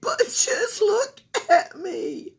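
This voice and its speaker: A woman voicing an anxious little schoolgirl in a read-aloud story, high and plaintive. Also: very animated, drawn-out words, vocal fry, heavily acted. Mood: upset.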